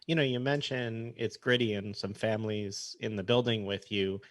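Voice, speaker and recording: A man's voice: fairly low pitch, steady tone, normal speed, no echo. A man hosting a casual sports interview, asking questions in a conversational way. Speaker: interview host.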